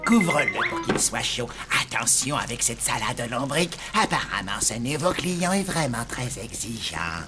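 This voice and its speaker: A man's voice: mid-range voice, big pitch swings, normal speed, few pauses, close to an everyday voice. Man voicing a wildly swooping, harried zombie cook. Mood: bossy. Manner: exasperated, fussy. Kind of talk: animated children's series acting. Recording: music behind.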